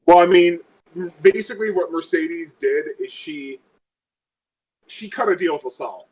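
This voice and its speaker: Dull, lo-fi audio of a man with a slightly high voice. He is a tabletop game player, chatting and bantering casually. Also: animated, normal speed, a little mellow, slightly rough.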